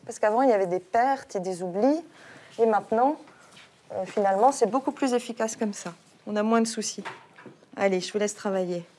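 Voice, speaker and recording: A woman teaching a primary class, calmly questioning a pupil and giving instructions. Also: medium pitch, fairly quick, slight background noise. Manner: calm, questioning, patient with a pupil.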